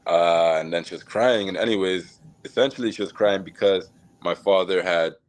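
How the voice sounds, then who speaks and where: A man from Jamaica, a podcast guest, telling his life story in a relaxed, reflective way with many fillers and small laughs. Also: low-pitched, moderate pace. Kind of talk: casual storytelling interview.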